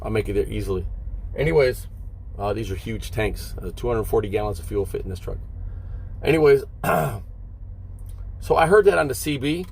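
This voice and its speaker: A man, a long-haul trucker, talks casually and haltingly to his viewers from inside a parked truck cab, explaining things and checking in with short questions. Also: lowish voice, lively intonation, vocal fry, darkish tone. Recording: vehicle noise behind the voice.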